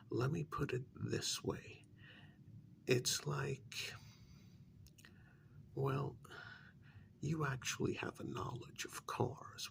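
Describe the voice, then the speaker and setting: Whispering, gravelly male adviser to vampires played by a man. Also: mid-range voice, fairly bright tone, full character voice. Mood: explaining, patient. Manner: measured, deliberate, explanatory. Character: frank, patient male adviser to a cursed vampire. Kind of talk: ASMR role-play, soft speaking.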